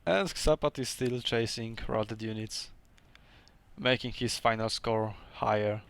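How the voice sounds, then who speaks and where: A man's voice: lowish voice, measured pace, vocal fry, a bit bright, smooth. Polish-accented man commentating a game. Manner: chatty, laughs often, hesitant second-language phrasing. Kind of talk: casual gameplay commentary.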